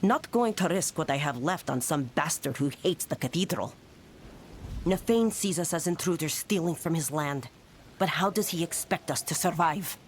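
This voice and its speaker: A woman playing a blunt, resentful knight commander in a fantasy video game, with a gruff manner. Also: deep voice, expressive, moderate pace, slight background noise. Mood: bitter, dismissive. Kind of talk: dramatic video game dialogue. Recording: music under the voice.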